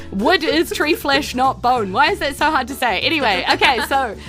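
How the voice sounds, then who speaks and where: A woman's voice: high-pitched, lively intonation, normal speed, a bit bright. A woman with a New Zealand accent hosting a podcast in a chatty, giggly way, as its dungeon master. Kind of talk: casual podcast outro chat. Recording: music behind the voice.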